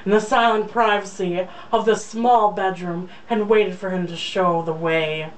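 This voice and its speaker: A woman's voice: mid-range voice, fairly even intonation, measured pace. A young woman casually reading a romance novel aloud, with an irreverent, joking manner. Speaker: reader. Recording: distant mic, faint background noise.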